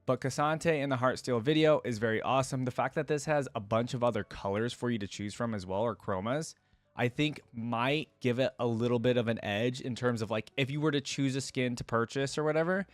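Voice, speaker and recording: A man's voice: medium pitch, a little fast, no room sound. A man hosting a reaction video, giving casual, opinionated commentary and speaking directly to his viewers. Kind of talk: casual reaction commentary, partly reading a wiki aloud.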